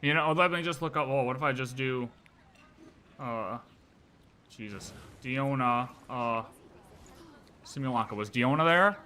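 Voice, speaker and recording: Bright-voiced man chatting on a livestream. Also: medium pitch, unhurried, long pauses, slight background noise. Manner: thinks aloud in slangy, offhand asides. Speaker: livestreamer playing a video game. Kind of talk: casual livestream chat.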